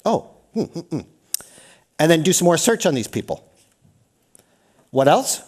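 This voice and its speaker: A Canadian man with a fairly high voice runs a lively workshop in a lecture hall, speaking into a microphone. He talks energetically and keeps firing questions at the room to draw people in. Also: a little slow, slightly rough. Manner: energetic, engages the audience with questions. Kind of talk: lively interactive seminar talk.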